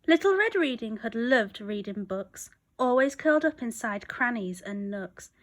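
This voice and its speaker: High-pitched, very animated woman storyteller. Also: a little slow. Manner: expressive, rhythmic. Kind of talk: rhyming picture-book read-aloud.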